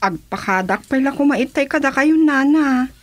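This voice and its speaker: A woman's voice: sits high, animated, normal speed, dry recording. An actress playing a polite, respectful young working woman with an Ilocano accent in a radio comedy drama.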